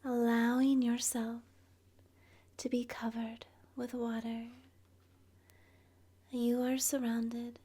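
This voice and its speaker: A woman softly narrating an ASMR guided meditation in a breathy, whispery voice, gentle and soothing, leaving long pauses. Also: on the high side, level tone.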